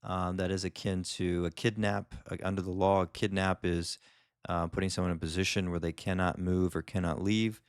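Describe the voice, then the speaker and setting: A man doing a calm legal commentary voice-over, explaining each point in a measured way. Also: low-pitched, smooth, no room sound, studio quality. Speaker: lawyer commentator.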